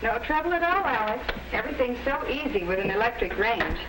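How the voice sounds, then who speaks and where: A woman's voice: fairly high pitch, big pitch swings, a little fast. An actress playing a cheerful, obliging middle-aged American housewife and mother in a 1950s sitcom-style film. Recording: very noisy, distant vintage film soundtrack.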